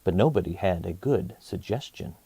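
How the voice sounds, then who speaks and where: A man hosting a children's story channel, reading aloud in an expressive, rhythmic storytelling style. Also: deep voice, moderate pace, breathy edge, no room sound.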